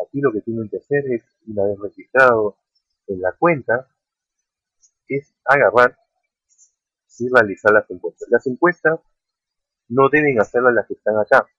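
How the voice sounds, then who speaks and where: A man's voice: mid-range voice, moderate pace, pauses often, a bit bright, husky, dry recording. A man walking viewers through a website in a screen-recorded tutorial, often checking in with "ok?" and calling them friends. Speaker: online earning vlogger. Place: at a computer, voice-over on screen capture. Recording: dry tinny phone-like sound.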